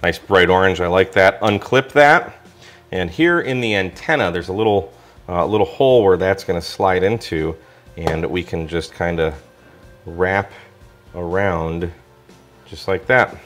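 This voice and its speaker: Guy with a lowish voice doing a casual gadget review, explains stuff informally, faint music. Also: measured pace, fairly bright tone. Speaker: product reviewer. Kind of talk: casual product review walkthrough.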